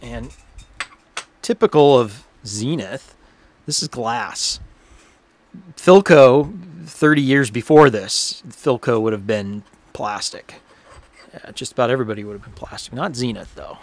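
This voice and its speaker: A man giving casual, hands-on repair commentary in an informal, unhurried way, thinking aloud as he works. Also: medium pitch, expressive. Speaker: repairer presenting his own workshop video.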